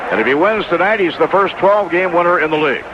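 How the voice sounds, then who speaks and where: A man's voice: high voice, expressive, fast talker. A man doing live play-by-play baseball commentary on the radio. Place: stadium broadcast booth. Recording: noisy, dull 1980s AM radio broadcast recording.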